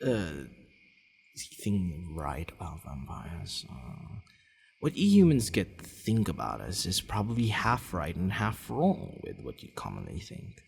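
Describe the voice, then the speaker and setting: A man playing a possessive, aloof but softening vampire lord in an intimate, slightly haughty ASMR roleplay audio drama, with a low, gravelly voice. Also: huge pitch swings, a little slow, exaggerated voice. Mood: calm, explanatory. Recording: long reverb, faint background noise.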